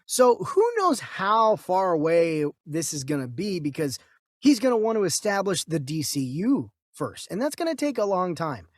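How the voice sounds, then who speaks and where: A man co-hosting a casual discussion, reasoning through his opinions in a halting way and repeating himself. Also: high voice, big pitch swings, no echo, studio quality. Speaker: co-host of a movie news show.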